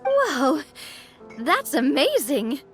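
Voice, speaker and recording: A chirpy young adventurer girl from a video game, sweet and relentlessly optimistic. Her high voice swings widely in pitch and sounds friendly and earnest. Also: very animated, very slow, soft background music, exaggerated voice. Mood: curious.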